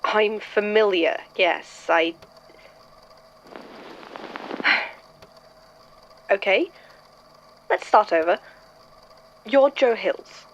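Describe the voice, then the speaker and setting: A woman playing a wary, hesitant listener in an audio drama, halting, guarded and deliberate. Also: mid-range voice, expressive, a little hiss or hum. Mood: flustered, guarded. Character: wary, hesitant listener questioning an odd visitor. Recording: dull lo-fi audio.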